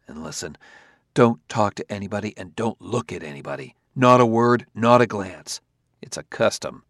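A man voicing a terse, uneasy male detective in an audiobook, curt and low-key, sometimes mumbling. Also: animated, moderate pace, fairly bright tone, no room sound, studio quality. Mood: hushed warning. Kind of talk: audiobook character voice.

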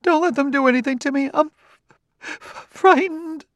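Man putting on a high, distraught, pleading woman. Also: normal speed, no room sound, lightly acted. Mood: frightened, desperate. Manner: high, breathless, emotional pleading. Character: distraught, frightened, guilt-ridden wife, pleading and tearful. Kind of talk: audiobook character acting.

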